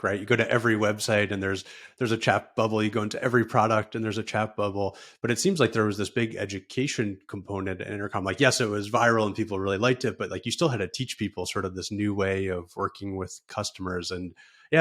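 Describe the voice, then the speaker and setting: A man with a fairly low voice who talks quickly. He is an interviewer with a casual, informal style, thinking out loud as he shapes what he asks. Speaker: interviewer, podcast host.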